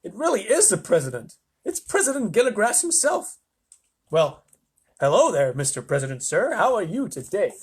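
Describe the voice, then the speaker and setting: Storybook role: man reading as cheeky, slangy astronaut. Husky, animated, blunt. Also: high-pitched, normal speed, pitched above the performer's own voice, heavily acted. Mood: startled. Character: excitable, cheeky male astronaut Shanks reporting by radio.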